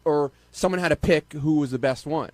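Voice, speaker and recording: A man on a radio panel pressing questions in a halting, insistent way amid group banter. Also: a little high, a little mellow, no echo.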